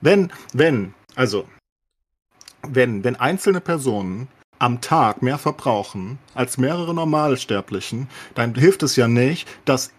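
A man's voice: mid-range voice, expressive, slow, husky. A man in a casual, opinionated discussion as a podcast co-host, talking colloquially with English swear words thrown in.

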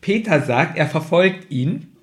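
Guy, pretty high voice, podcast co-host, emphatic, keeps repeating himself to make a point. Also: expressive, measured pace. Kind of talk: casual chat reviewing an audio drama.

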